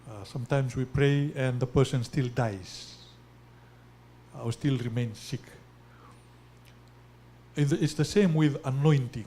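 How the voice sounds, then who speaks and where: Mellow guy asking a forum question, really slow and halting, bit of hum behind him. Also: mid-range voice. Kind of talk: hesitant, deliberate spoken question.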